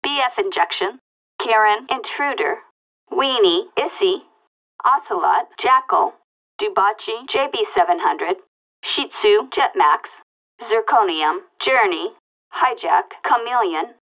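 Slow clipped female police dispatcher over radio. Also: medium pitch, lively intonation, fairly bright tone, poor audio. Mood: flat, procedural. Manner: clipped, detached, word-by-word delivery. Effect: police radio filter. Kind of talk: reading out vehicle makes and models as scanner callouts.

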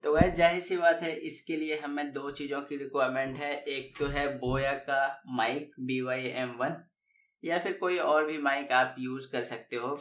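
A man's voice: medium pitch, steady tone, moderate pace, a bit bright. Young male tech host, lapel mic, patient step-by-step explainer, a bit roomy. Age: young adult. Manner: explains step by step, repeating key phrases. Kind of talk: casual tech tutorial. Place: small dim room indoors, seated at a desk. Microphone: lavalier clip mic.

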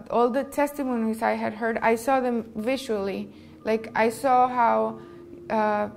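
A woman's voice: on the high side, a little hiss or hum. A woman with a Costa Rican accent, a native Spanish speaker, telling her own story as a filmmaker in a conversational, earnest way. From Costa Rica. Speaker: filmmaker being interviewed. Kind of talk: conversational personal account.

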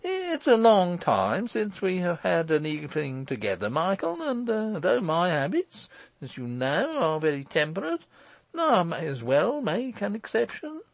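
A man's voice: on the high side, huge pitch swings, slow, dry recording, voice pushed up, full character voice. A man voicing a sullen, evasive, nervous householder in an audiobook, hesitant and stammering as he schemes and blusters. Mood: falsely hearty. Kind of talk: audiobook character voice.

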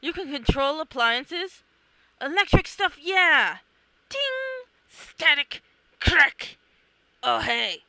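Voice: sits high, expressive, takes it slowly, creaky, long pauses, sharp tone, no echo, low-quality recording. A person reading a comic aloud, acting out the characters in a theatrical, exclamatory way. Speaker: reader of own comic. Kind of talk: animated read-along of a comic, acting out characters.

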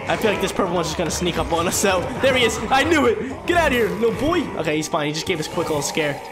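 A man's voice: high-pitched, big pitch swings, very fast. A young man with a stuffy, congested voice, commentating his video game playthrough to viewers on a headset mic, hyped and chatty. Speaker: gaming channel host. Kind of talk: gameplay commentary. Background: game music.

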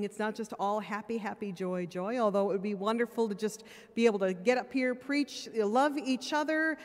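A woman's voice: mid-range voice, darkish tone. A woman priest preaching from a pulpit microphone in a church, earnest and plain-spoken with wry asides, and sounding a little tired. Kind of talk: sermon. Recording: long church reverb.

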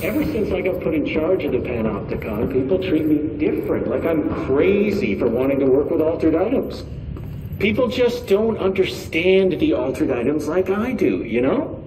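An actor playing a chatty, awkward, nervous security officer in a video game, rambling and correcting himself over an intercom. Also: male voice, on the high side, expressive, moderate pace, distant mic. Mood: chatty, earnest. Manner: rambling, self-correcting, earnest. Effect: intercom speaker. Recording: echoey intercom, noisy game ambience.

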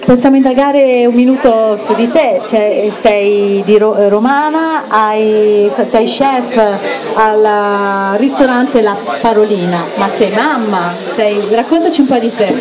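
A woman's voice: medium pitch, slow pace, rough recording. Woman host questioning a chef on stage. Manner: asks friendly personal questions. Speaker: event host. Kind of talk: live on-stage interview. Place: restaurant dining room with audience. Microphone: handheld mic. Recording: chattering crowd behind.

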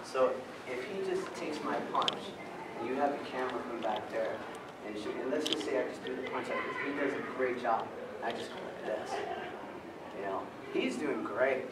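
A man's voice: mid-range voice, moderate pace. A man teaching a stage-fight workshop, demonstrating punches and elbows as he explains. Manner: energetic, demonstrating moves while talking. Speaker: stunt fight instructor. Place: large carpeted event room indoors. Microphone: far camera. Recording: echoey room from far off, loud background noise.

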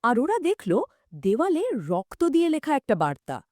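A woman's voice: sits high, wildly swooping pitch, normal speed, dark tone, no echo, clean studio sound. A woman co-hosting a conversational story discussion, retelling events dramatically and asking inquisitive questions. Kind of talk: scripted-sounding conversational storytelling.

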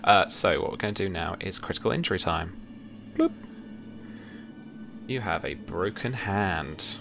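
A young man running a tabletop roleplaying game, narrating and talking through rules casually in a halting, repetitive way. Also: low-pitched, expressive, vocal fry. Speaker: game master. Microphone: headset or desk mic, seated indoors on a video call.

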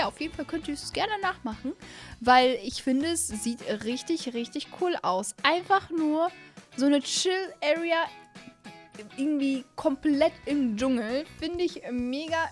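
Young female gaming host, high voice, informal chatty delivery, close mic with faint music underneath. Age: young adult. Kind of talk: casual chat, game commentary. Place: small indoor room at home. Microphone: microphone on a boom arm close to the mouth.

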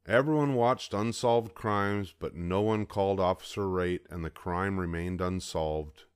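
An older man reading a picture book aloud in steady, even narration close to the mic. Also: low voice, measured pace, clean tone, no echo. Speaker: storybook reader.

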